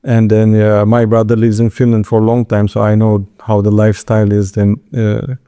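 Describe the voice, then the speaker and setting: A man with a Turkish accent giving informal interview answers, halting and restarting his words as he goes. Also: on the low side, completely flat delivery, warm tone, smooth. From Istanbul, Turkey. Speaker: interview guest, entrepreneur.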